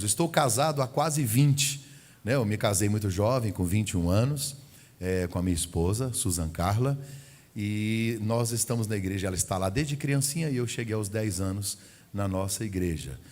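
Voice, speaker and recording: A man's voice: lowish voice, animated, moderate pace, darkish tone. A man in his forties from Goiás, Brazil, preaching as a visiting pastor at a family service, chatty and humorous, acting out dialogue. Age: 40s. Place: church sanctuary. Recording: long hall reverb in a church.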